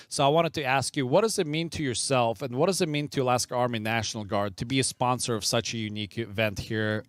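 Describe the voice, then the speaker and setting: A man hosts interviews at an esports event, upbeat and quick to affirm his guest. His voice is smooth and clear. The sound is dry and close. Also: medium pitch, fairly bright tone, no room sound. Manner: upbeat, affirming with short replies. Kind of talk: livestream interview hosting.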